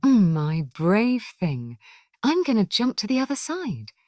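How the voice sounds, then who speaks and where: A woman voicing an eager, plucky little storybook girl with an excitable, earnest manner. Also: medium pitch, measured pace, no echo, clean studio sound, pitched above the performer's own voice. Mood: excited.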